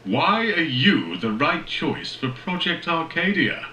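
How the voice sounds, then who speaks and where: An actor playing a brisk, commanding aerospace tycoon joining an interview remotely in a cinematic audio drama. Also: male voice, on the high side, big pitch swings, sharp tone, heavily acted. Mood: brisk, genial. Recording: roomy echo, faint noisy ambience.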